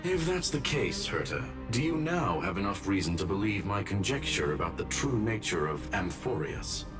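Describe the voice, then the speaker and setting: A man voicing a courteous, logical machine intellect in a video game, speaking in a measured, formal, analytical way. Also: lowish voice, sing-song, over music. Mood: calm, analytical.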